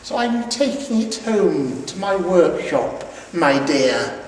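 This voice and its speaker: Storybook villain, played by a man: gleefully wicked old creature, slow hissing delivery, picked up from a distance. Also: fairly high pitch, big pitch swings. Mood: falsely sweet. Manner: hissing, grinning, drawn-out delivery. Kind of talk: storybook character voice. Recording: roomy, distant, noisy recording.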